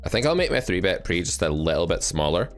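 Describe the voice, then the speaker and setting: A man's voice: low-pitched, very animated, quick pace, faint music behind. A man coaching poker with live play-and-explain commentary, talking in a blunt, wry, sardonic way.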